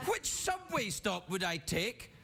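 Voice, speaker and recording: A man's voice: sits high, very animated, slow, vocal fry, bright, forceful tone, slightly rough. A Scottish man hosting a late-night talk show, teasing and joking with audience members in a playful way. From Scotland. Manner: playful, teasing, laughing often. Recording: studio audience and band music behind.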